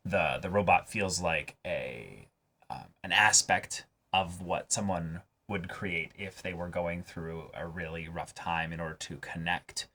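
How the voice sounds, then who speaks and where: A man's voice: low voice, unhurried, sharp tone. A man in a casual analytical discussion as a show co-host, piecing his thoughts together in hesitant fragments. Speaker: co-host of an anime reaction show.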